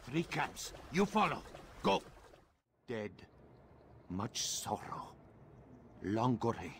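A gravelly alien villager guide. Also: male voice, medium pitch, fairly bright tone, slight background noise. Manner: terse broken commands.

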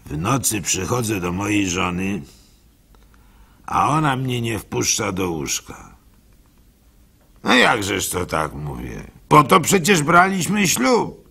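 Raspy, gravelly village fool, swooping delivery. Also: male voice, on the low side, very animated, slow pace, long pauses, sharp tone, heavily acted. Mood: rueful, bewildered. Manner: folksy, rueful, theatrical with sighs and interjections. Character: gullible, plaintive village fool telling his misfortunes. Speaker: radio drama actor. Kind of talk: first-person dramatic monologue storytelling.

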